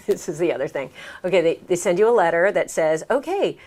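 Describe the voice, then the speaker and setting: An American woman with a slightly low voice talks as a guest in a casual interview. She recounts her experiences in a chatty, expressive way, often acting out what was said. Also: fairly quick. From United States. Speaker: interview guest, American who moved to France. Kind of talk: casual conversational storytelling.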